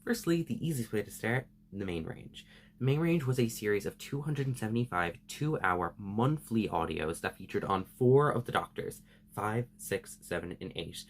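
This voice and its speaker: Guy w kinda low voice doing an enthusiastic explainer video, earnest and emphatic, semi scripted. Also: expressive, moderate pace, vocal fry, a little hiss or hum. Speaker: video host.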